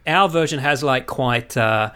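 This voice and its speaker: A man co-hosting a podcast in casual movie chat, telling things in an animated, sweary way and checking in with his listener. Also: mid-range voice, unhurried, clear, resonant tone. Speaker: podcast co-host.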